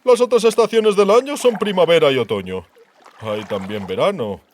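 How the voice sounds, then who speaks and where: A man's voice: fairly high pitch, normal speed, a little mellow, a little hiss or hum, pitched above the performer's own voice, close to an everyday voice. A man voicing a haughty, courteous aristocratic ambassador in a dramatized fantasy audiobook, with formal, ceremonious speech. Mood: dryly joking. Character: haughty aristocratic male ambassador, courteous diplomat hiding resentment.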